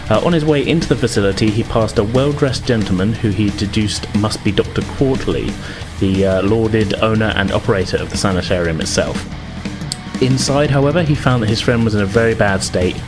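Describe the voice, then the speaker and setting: A man hosting a tabletop gaming podcast as game master, talking in an informal, conversational way. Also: fairly low pitch. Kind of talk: casual podcast intro, recap and game narration.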